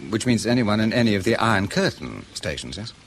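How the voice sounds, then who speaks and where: A sardonic British intelligence officer in a radio spy drama, low-voiced with a lively, swooping intonation. He is educated, exacting and testy, probing with dry scepticism, over clear ambient noise. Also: fairly quick.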